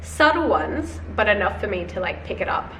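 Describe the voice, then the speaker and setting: A woman's voice: medium pitch, big pitch swings, normal speed, a bit bright. A young woman with a head cold talking casually to her viewers in a video diary, chatty and full of fillers, calling them guys. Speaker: vlogger. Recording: roomy, slightly distant, faint noise.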